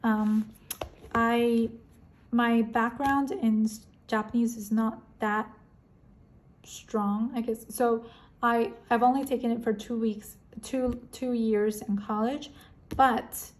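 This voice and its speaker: A woman's voice: a little high, steady tone, slow pace, poor audio. A young woman whose first languages are Korean and Chinese, chatting casually through a planner flip-through with plenty of ums and restarts. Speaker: planner vlogger. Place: indoors at a wooden table. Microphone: overhead camera on a tabletop.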